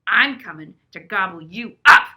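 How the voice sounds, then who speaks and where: A woman's voice: medium pitch, lively intonation, moderate pace. Woman as storybook troll: mean, nasty, roaring every line. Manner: loud roaring bellow.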